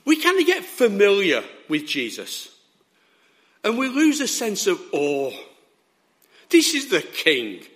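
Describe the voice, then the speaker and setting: A man preaching a sermon with a hoarse, sore throat, emphatic and often checking that his listeners are following. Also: high-pitched, wildly swooping pitch, takes it slowly, long pauses, a bit bright.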